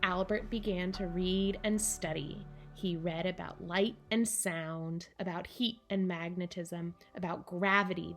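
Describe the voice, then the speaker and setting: A woman narrating a children's picture book in measured, deliberate phrases with dramatic pauses. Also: on the low side. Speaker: storybook reader for children.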